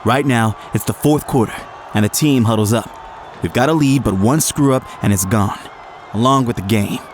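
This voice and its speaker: A man's voice: mid-range voice, darkish tone, a little hiss or hum, lightly acted. Intense young male quarterback narrating , actor's performance. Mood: confident, fired up. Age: young adult. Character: young male college quarterback, frazzled, earnest first-person narrator. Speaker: narrator and lead character. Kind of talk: dramatic first-person audio drama narration.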